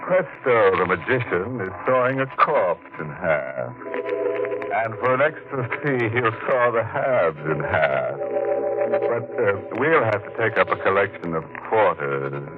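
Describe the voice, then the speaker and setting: A punning, ghoulish horror host voiced by a man drawls his morbid jokes in a very deep, unhurried voice. The sound is tinny and narrow, like an old radio broadcast with music behind it. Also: lively intonation. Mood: mocking. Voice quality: sly, ghoulish punning delivery. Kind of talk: 1940s radio acting.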